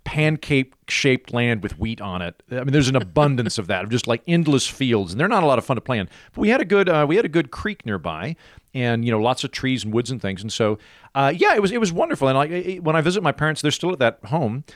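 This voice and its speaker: A man from Oklahoma, a guest in a casual interview, talking with dry, deadpan humor and rambling restarts. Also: mid-range voice, fast, dry recording.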